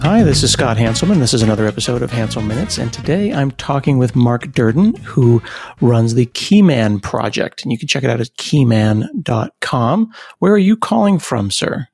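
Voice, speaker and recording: A man's voice: medium pitch, sing-song, moderate pace, creaky, music in the background. A man hosting an interview podcast, talking in a conversational presenter's way. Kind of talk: conversational tech interview with sponsor read.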